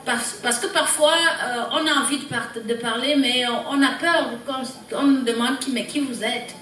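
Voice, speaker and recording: A woman presenting a group report to a party meeting, halting and repeating words as she goes. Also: high voice, a bit bright. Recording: echoey hall, distant mic, background noise.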